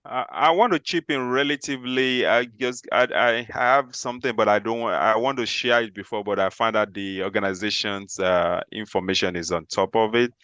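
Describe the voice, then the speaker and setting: Smooth, deep-voiced man explaining. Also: normal speed, hardly any pauses, bright, forceful tone, dry recording. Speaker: insurance agent. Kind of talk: informal explanation in a meeting. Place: remote online group call.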